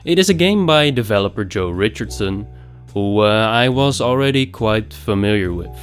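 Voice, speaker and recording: A man's voice: lowish voice, animated, normal speed, soft background music. A young man rambling casually through a game review, nasal from a recent cold, swearing offhandedly.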